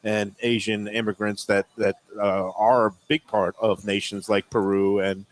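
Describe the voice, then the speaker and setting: Low-voiced chatty male TV host. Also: little pitch movement, a little slow. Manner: chatty, asks follow-up questions. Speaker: TV food show host. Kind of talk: casual live TV interview. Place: restaurant.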